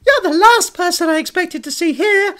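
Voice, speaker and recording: Squeaky, brash cartoon gambling boss. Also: lively intonation, normal speed, full character voice. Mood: excited, surprised. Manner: loud, exaggerated, mocking. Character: gambling-den boss in his fifties, squawky puppet-like, brash, mocking.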